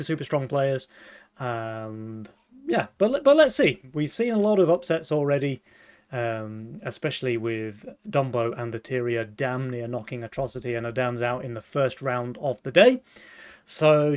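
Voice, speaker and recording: Upbeat young male esports caster. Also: medium pitch. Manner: upbeat, laughs often, runs the broadcast. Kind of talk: live esports commentary.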